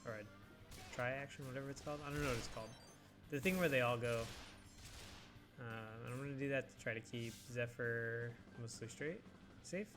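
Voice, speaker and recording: A young man with a stuffy nose and a scratchy throat talks through a video game in a loose, rambling way, full of ums and likes. His voice sits at an ordinary middle pitch. Game music plays clearly behind him. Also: brisk, long pauses. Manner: rambling, hesitant with many fillers. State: congested, scratchy throat, coughing. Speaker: let's play host. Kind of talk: casual gameplay commentary.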